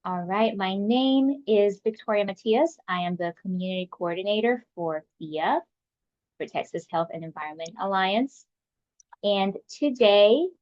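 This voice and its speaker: A woman hosting an online webinar, reading a polite, welcoming scripted introduction over a video call. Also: medium pitch. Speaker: webinar host and community coordinator. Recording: muffled webinar audio.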